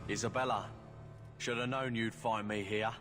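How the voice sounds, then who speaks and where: Guy acting a smug sneering slaver thug, game villain, mid pitch, hall reverb, some music under it. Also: steady tone, normal speed, lightly acted. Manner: smug, threatening, mocking. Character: menacing, sneering male slaver henchman of a merchant. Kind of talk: video game villain dialogue.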